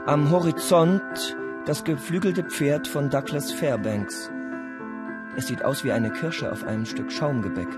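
A man's voice: fairly high pitch, measured pace. A man reading scripted storytelling narration for an audio play. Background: music.

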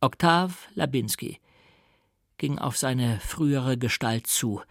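Male audiobook narrator, mid-pitched: dramatic, deliberate, emphatic literary reading, dry studio sound. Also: creaky, fairly bright tone, dry recording.

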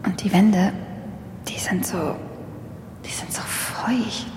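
Actress as a sad uneasy woman, slow halting whisper, mystery audio drama, cavernous reverb. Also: mid-range voice, lightly acted. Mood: hushed, sad, wary. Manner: hushed, halting, breathy whispering. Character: sad, uneasy woman exploring an abandoned underground station. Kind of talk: mystery audio drama acting, narrating her surroundings. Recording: echoey cavernous hall with long reverb.

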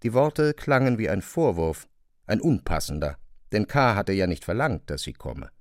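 A man's voice: lowish voice, very animated, moderate pace, no echo. A man narrating a literary novel as a scripted reading, close to a studio microphone. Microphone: close, dry studio microphone.

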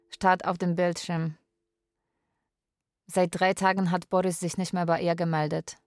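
Radio play role: restless, lonely caregiver from Poland played by an actress, fairly low voice, unadorned delivery. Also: female voice, dry recording, lightly acted. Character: lonely, restless Polish live-in caregiver woman. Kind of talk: radio drama, narrating and texting aloud.

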